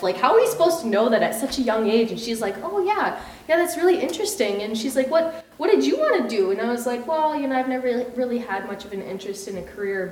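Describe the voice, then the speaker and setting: Chatty American woman in an echoey church. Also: a little high. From United States. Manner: chatty, lots of fillers and quoted dialogue. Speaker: missionary testifying at church. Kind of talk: personal testimony. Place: church hall. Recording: echoey room, mic at a distance.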